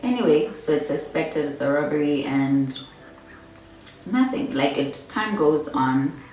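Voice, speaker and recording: A woman's voice: low-pitched, animated, unhurried, a little mellow, very echoey, distant mic, faint music behind, rough recording. A young woman with a Botswana accent, casually chatting and storytelling while doing her makeup, full of "like" and "my girl". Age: young adult. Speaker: beauty vlogger. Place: small bedroom indoors.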